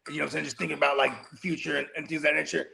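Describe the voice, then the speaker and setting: A man's voice: on the high side, level tone, very fast, vocal fry, pushed and bright, slight background noise. A man co-hosting a casual podcast, rambling with heavy slang, fillers and frequent laughter. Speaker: podcast co-host.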